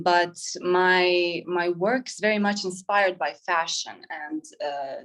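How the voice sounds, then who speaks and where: A woman, explaining herself reflectively as an artist in an informal interview. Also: fairly low pitch. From Croatia. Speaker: interview guest, painter. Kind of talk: informal interview, describing own work.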